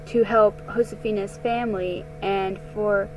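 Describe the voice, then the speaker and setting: A woman giving a dramatic reading of a story, halting and repeating words as she goes. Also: mid-range voice, fairly even intonation, a little mellow, soft background music, low-quality recording. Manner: slow, halting, stumbles and rereads words. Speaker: storybook reader.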